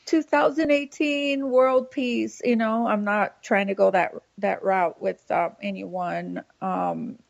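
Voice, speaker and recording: A woman's voice: medium pitch, steady tone, slow, creaky, hardly any pauses, dry recording, muffled recording. A woman from Brazil, co-hosting a podcast, chatting casually about politics with plenty of fillers. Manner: chatty, rambling, full of fillers and laughs. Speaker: podcast co-host.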